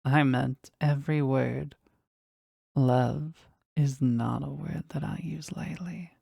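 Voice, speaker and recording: Slow, husky, gravelly demon lover, voiced by an actor. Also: medium pitch, steady tone, mellow, no echo, clean studio sound, full character voice. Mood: earnest, yearning. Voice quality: husky, breathy, often dropping to a whisper. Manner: formal, slow, tender, halting with emotion. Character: powerful demon bound to a sorcerer, devoted, lovestruck, formal. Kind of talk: intimate romantic audio roleplay.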